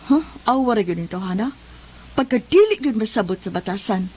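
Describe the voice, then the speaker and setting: An actress with a Cebuano Filipino accent playing an exasperated woman who scolds with drawn-out, emphatic words in a comedy radio drama. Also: mid-range voice, lively intonation, a little mellow, breathy edge, soft background music. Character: exasperated scolding woman nagging a hot-headed man.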